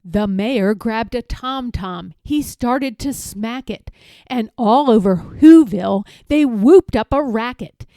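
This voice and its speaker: A woman narrating a rhyming children's story in an expressive read-aloud style. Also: a little high, slow, no echo. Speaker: storybook reader.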